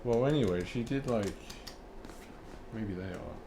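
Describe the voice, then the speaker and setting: A man's voice: lowish voice, unhurried, creaky, background noise. A man hosting a podcast, chatting casually in a dry, laid-back way, trailing off with ums. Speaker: podcast host. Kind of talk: casual chat.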